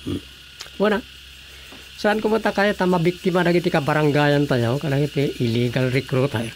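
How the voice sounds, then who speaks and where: A man's voice: a little high, steady tone, normal speed, a little hiss or hum, natural voice. A man playing a firm, skeptical village man in a radio drama comedy.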